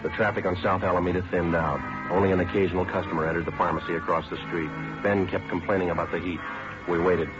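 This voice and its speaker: A man's voice: low voice, little pitch movement, fast talker, lightly acted. A man acting a terse, weary police detective who narrates his case in clipped, matter-of-fact old radio procedural style. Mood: flat, matter-of-fact. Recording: dull vintage radio recording, music behind.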